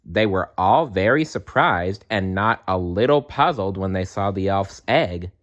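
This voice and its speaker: A man reads a children's storybook aloud at a very slow, unhurried pace. His slightly low voice moves up and down in an animated way. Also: muffled recording. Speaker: storybook reader.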